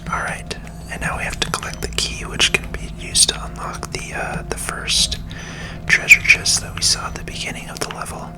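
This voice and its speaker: A person softly whispering ASMR video game commentary in a soothing, unhurried manner. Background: game music.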